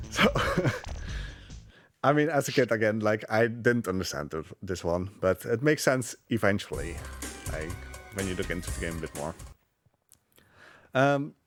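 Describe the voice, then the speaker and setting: A man giving casual live speedrun commentary in a halting, rambling, amused way. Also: fairly low pitch, lively intonation. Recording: game music behind the voice. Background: game music.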